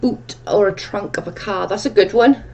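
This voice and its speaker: A woman's voice: mid-range voice, normal speed, gravelly, dark tone, dull audio, slight background noise. A woman with a strong Welsh accent hosting a casual livestream chat, reading viewer comments aloud and answering them in a chatty, rambling way. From Wales. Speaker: livestream host.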